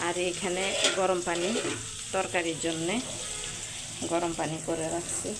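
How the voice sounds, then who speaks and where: A woman's voice: on the low side, level tone, unhurried, heavy background noise. A woman with a Bangladeshi accent narrating her daily life at home in an informal, chatty, colloquial way. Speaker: home vlogger. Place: at home, kitchen and balcony.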